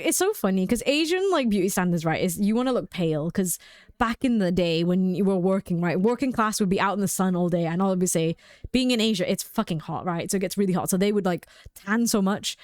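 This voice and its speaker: A young woman chats with her stream audience, giggling and rambling through loose, filler-heavy sentences. She sits close to a desk mic in a dry room with no echo. Her voice sits at an everyday pitch for a woman. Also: darkish tone. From United Kingdom. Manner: chatty and giggly, many "like" fillers. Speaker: game streamer. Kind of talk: casual chat with stream viewers.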